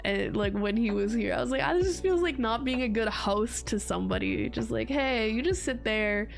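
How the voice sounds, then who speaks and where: Stuffy-nosed woman with a gravelly voice. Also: fairly high pitch, animated, moderate pace, faint music behind. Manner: rambling, laughing often, says like a lot. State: stuffy, congested from a cold. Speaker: VTuber streamer. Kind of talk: casual stream chat.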